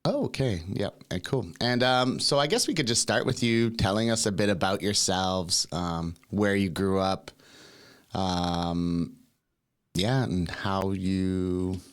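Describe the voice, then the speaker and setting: Podcast host man, low, rambling. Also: slow pace, clear, resonant tone. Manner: friendly, rambling questions with many fillers. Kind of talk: casual interview chat.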